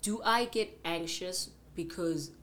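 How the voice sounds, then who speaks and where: A woman with a deep, low voice talks things over in a relaxed chat. She sounds reflective and a little hesitant, and she often restarts and repeats words as she searches for her thoughts. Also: slow. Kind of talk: casual chat.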